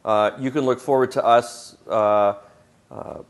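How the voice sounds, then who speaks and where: A man's voice: mid-range voice, fairly even intonation, measured pace. A man on a conference panel answering audience questions in a casual, self-deprecating way, with frequent fillers. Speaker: product manager presenting on a panel.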